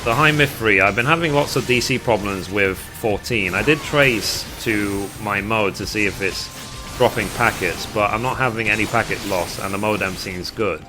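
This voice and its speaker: A man's voice: on the low side, moderate pace. A man with a British accent chatting casually and at rambling length with his live stream viewers as a gaming streamer. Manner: rambling, reading chat aloud and replying. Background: music.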